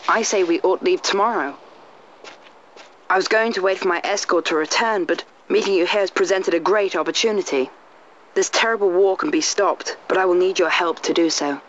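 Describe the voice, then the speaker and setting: A man's voice: high-pitched, moderate pace, fairly bright tone, slight background noise. A young male actor voicing an earnest, courtly boy prince in a fantasy game, with formal, polite phrasing. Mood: earnest, urgent. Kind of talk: dramatic game voice acting. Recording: dull lo-fi game audio over music.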